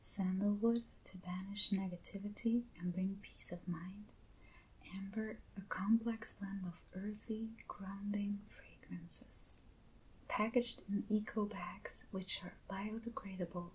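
Slow, breathy half-whispering woman, non-native English. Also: medium pitch, steady tone, slight background noise, poor audio. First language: not English. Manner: gentle, hushed, unhurried. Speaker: ASMR video creator. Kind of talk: soft-spoken ASMR unboxing.

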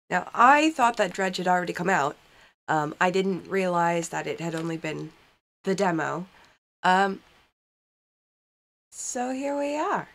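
A young woman with a congested, stuffy nose talks casually through a game session, rambling and reading on-screen text aloud. She records at a desk mic in a cold basement. Faint game music sits low behind her. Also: fairly bright tone. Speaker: gaming channel host. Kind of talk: casual let's-play commentary. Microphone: desk mic while wearing headphones.

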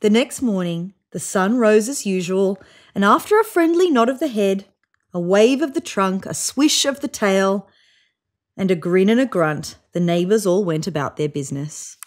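A woman in her thirties reading a children's picture book aloud on a sofa, with voiced sound effects and hushed whispers. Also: medium pitch, expressive, normal speed. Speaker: storybook reader. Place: indoors on a sofa.

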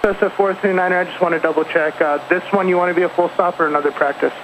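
A woman's voice: on the low side, fairly even intonation, fast talker, dull audio. A woman working as an airport tower controller, giving procedural instructions over aviation radio. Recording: noisy band-limited aviation radio.

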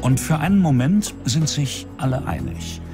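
A man's voice: medium pitch, wildly swooping pitch, normal speed, over music. A man with a standard German accent narrating a television documentary in a scripted style.

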